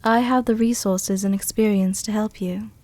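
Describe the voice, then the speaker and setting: A woman playing a seductive, protective vampire countess in an intimate ASMR roleplay, speaking tenderly and teasingly with a soothing, soft-dominant manner. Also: medium pitch, steady tone, normal speed, close to an everyday voice. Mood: soothing, reassuring. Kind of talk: intimate ASMR roleplay, scripted character acting.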